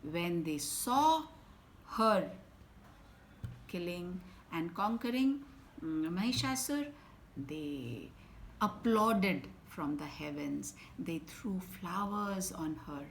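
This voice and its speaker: Indian woman storyteller for kids, lowish voice, expressive, faint room ambience. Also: slight background noise. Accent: Indian English. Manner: dramatic, swings between loud emphasis and soft whispers. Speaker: storyteller for children. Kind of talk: expressive bedtime story reading.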